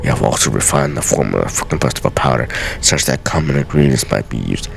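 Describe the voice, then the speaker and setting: Aging video game master assassin, acted by a man: rock-bottom pitch, heavy gravelly fry, solemn, weary, measured journal delivery. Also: animated, slight background noise, exaggerated voice. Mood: measured, proud. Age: older. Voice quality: very deep, gravelly. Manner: grave, measured, theatrical dramatic reading.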